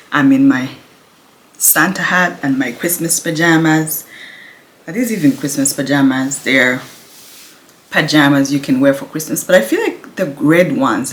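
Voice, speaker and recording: A woman, her Kinyarwanda, chatting casually to camera at home, speaking softly and laughing now and then. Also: sits low. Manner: speaks softly, laughs often, restarts words. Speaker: vlogger. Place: home, indoors.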